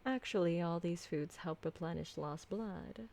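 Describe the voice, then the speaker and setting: Slow, low, doting vampire mistress, acted by a woman. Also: gravelly. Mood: doting, gentle. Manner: soft-spoken, genteel, tender, formal wording. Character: ancient, doting, refined female vampire mistress. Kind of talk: intimate ASMR roleplay acting.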